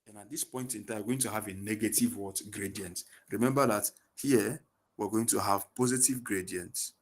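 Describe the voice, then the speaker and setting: A man runs an online physics lesson through a headset on a video call. His voice sits fairly low, and he quizzes his students as he goes, answering the questions himself. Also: normal speed. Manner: asks leading questions and fills in the answers. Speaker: physics tutor.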